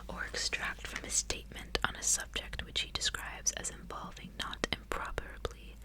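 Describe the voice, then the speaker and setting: A woman reading in a soft, breathy ASMR whisper, with slow, careful, hushed delivery, close to the microphone. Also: low-quality recording. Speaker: ASMR host reading a book aloud.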